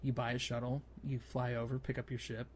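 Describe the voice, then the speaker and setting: A man hosting a casual gaming podcast, conversational and a little halting as he thinks aloud. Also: mid-range voice, little pitch movement. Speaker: podcast host. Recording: faint background noise.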